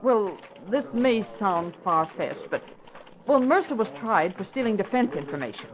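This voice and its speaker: A woman's voice: mid-range voice, sing-song, moderate pace, natural voice. An American woman playing a thoughtful, polite secretary in an old-time radio mystery, reasoning tentatively. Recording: dull vintage radio recording.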